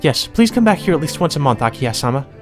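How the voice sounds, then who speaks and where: A man voicing a gentle, wistful young female maid who speaks with polite, deferential formality. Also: on the high side, very animated, fast talker, over music.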